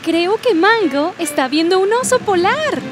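A woman voicing a bright, take-charge young girl explorer in a children's cartoon, explaining earnestly. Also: extremely high pitch, lively intonation, measured pace. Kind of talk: animated children's cartoon dubbing. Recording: loud music behind the voice.

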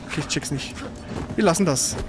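A man's voice: a little high, a little fast, over music. A man giving casual, colloquial live commentary as he plays a video game, muttering his reactions. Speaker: gaming streamer, player. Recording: noisy stream with game sound behind.

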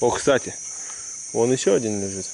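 A man's voice: medium pitch, lively intonation, normal speed, gravelly, a crowd in the background. A man chatting casually and ironically on an outing, recorded outdoors on a handheld camera. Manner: joking, ironic. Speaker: tourist filming a vlog. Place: outdoors at a crocodile farm. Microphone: handheld camera microphone close by.

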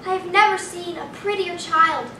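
Girl actor doing a macabre storybook little girl, declaiming, high voice, far from mic in a roomy hall. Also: moderate pace, bright, forceful tone. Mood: macabre relish. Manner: theatrical, declaiming. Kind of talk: staged play reading. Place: small hall, staged reading before an audience. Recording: roomy distant camera recording, faint noise.

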